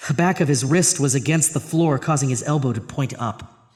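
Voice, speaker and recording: A man playing the haunted narrator of a horror tale, reading in a grave, deliberate, intense dramatic style. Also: mid-range voice, darkish tone, in a large hall, soft background music, close to an everyday voice. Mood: horrified.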